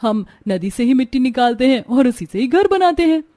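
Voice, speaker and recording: A woman's voice: high-pitched, sing-song, brisk, dark tone. A woman playing a practical young village daughter-in-law in a scripted cartoon story. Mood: reasoning.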